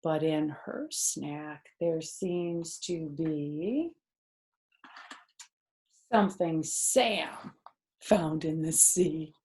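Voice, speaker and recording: Slow-paced woman reading to children. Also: low voice, slight background noise. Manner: expressive, explains words simply, asks listeners questions. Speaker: grandmother reading picture books to children. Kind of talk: children's storytime read-aloud with asides. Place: living room, indoors.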